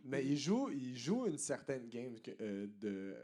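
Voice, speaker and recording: A man's voice: medium pitch, big pitch swings, a little slow, mellow. A man in his late twenties with a Québécois accent, a comedian guest chatting casually, joking and hesitating with fillers. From Quebec. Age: late 20s. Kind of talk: casual chat.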